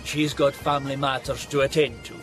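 A man's voice: mid-range voice, flat delivery, a little fast, over music, close to an everyday voice. A man in a radio drama playing a sly village constable, falsely polite and insinuating. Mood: quietly menacing.

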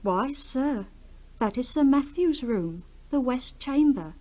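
A woman's voice: high voice, animated, slow, warm tone. A woman playing a deferential, anxious manor housekeeper in a radio drama, respectful and fretful. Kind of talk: 1960s radio drama acting.

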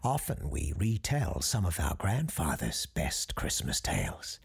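A man playing a genial parapsychologist radio host who tells Christmas ghost stories in a husky, creaky, measured, genteel voice. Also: deep voice, wildly swooping pitch, heavily acted. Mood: genial, chatty. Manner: genteel, measured storyteller's delivery. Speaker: host and storyteller of an audio fiction show. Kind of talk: scripted storytelling, radio-show monologue.

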